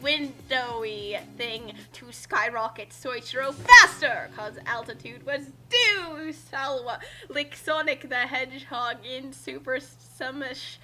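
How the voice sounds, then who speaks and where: A woman with a high, piercingly bright voice reads a comic story aloud. Her delivery is slow, theatrical and exclamatory, over background music. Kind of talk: comedic dramatic reading.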